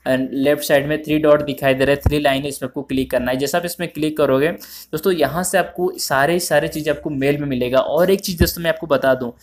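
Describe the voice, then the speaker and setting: Male tutorial host, slightly high voice, informal and repetitive step-by-step delivery. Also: steady tone, fast talker, slight background noise. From India. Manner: repetitive, step-by-step explaining, addressing viewers as friends. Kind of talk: informal phone app tutorial narration.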